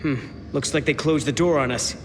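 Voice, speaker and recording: A man's voice: a little high, fairly even intonation, a little fast, slight background noise, lightly acted. A man playing a determined, wry young hero in a video game. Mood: uneasy. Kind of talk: video game cutscene acting. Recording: reverberant game audio under loud music.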